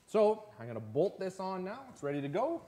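A man's voice: high voice, big pitch swings, fairly quick, warm tone. A man in his forties giving a casual, matter-of-fact how-to talk with folksy, plain-spoken phrasing. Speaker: host of a snowmobile build series. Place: indoor garage workshop full of tools. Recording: clearly noisy workshop recording with clicks.

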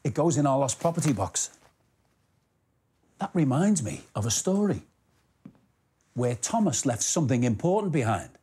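Very animated male children's storyteller. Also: mid-range voice, normal speed, long pauses. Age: middle-aged. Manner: animated, expressive, clearly enunciated. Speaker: storyteller and presenter playing a station master.

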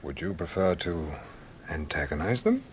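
An actor playing an older, courteous British gentleman scholar in a radio drama, musing and sometimes hesitant. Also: sits low, big pitch swings, normal speed, husky, heavily acted. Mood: grave, musing. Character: genial, philosophical English gentleman scholar, older, gently evasive.

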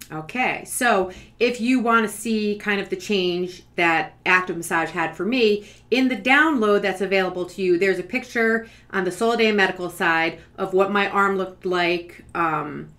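A woman's voice: mid-range voice, a little slow, pushed and bright. A woman in her forties giving an informal explanatory talk to camera, conversational and thinking aloud as she goes. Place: small furnished room at home, indoors.

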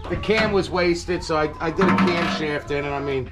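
A man's voice: on the high side, moderate pace, loud music behind the voice. A middle-aged man, plain-spoken and easygoing, talking about his cars in an old wooden barn and often laughing. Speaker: car collector, interviewee. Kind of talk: casual chat. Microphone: host's camera mic, a few feet away. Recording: noisy barn audio.

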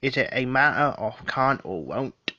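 Man acting desperate male villain, tense sharp voice, demanding, vengeful, audio drama, dry close sound. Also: medium pitch, animated, measured pace, no echo, dull audio. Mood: pleading, insistent. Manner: confrontational, forceful.

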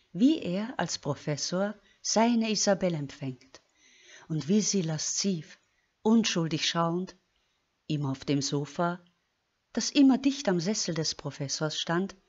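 A woman reading scripted narration slowly and deliberately. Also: lowish voice, a little mellow. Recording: dull lo-fi sound.

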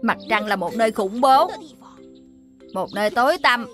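A woman's voice: on the high side, moderate pace, lightly acted. A woman voicing an opinionated female character in a cartoon dub. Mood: ominous, dismissive. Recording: faint music behind.

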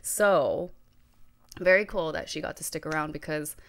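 Woman, young adult, podcast co-host, somewhat low pitch, rambling casual chat, handheld mic. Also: moderate pace, gravelly. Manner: chatty, many fillers and restarts, laughs often. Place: living room studio. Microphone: handheld dynamic mic with headphones.

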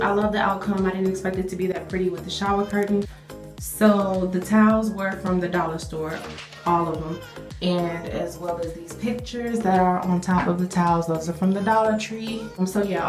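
A woman walks through her freshly cleaned small bathroom with enthusiasm, chatting casually with a few ums. Her phone mic picks up the tiled room's strong echo, and faint music sits behind her. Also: medium pitch. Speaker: cleaning vlogger. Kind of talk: casual walkthrough of a finished bathroom.

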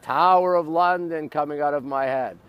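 A man's voice: on the high side, moderate pace, smooth, lo-fi sound. A middle-aged man from Montreal with a North American accent, chatting casually as a live-stream host in short, repetitive, exclamatory bursts. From Montreal, Canada. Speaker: live-stream host showing city sights. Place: outdoors on an urban riverside.

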